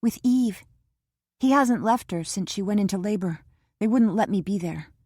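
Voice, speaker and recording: A woman voicing an anxious, devoted young woman who talks eagerly and protests quickly in an audiobook. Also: medium pitch, darkish tone, breathy edge, no room sound, clean studio sound, lightly acted.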